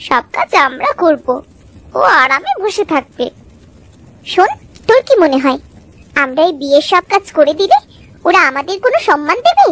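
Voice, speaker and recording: Resentful, gossipy sister-in-law for village cartoon woman acting: high, animated, scornful, music underneath. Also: full character voice. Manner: grumbling, scornful.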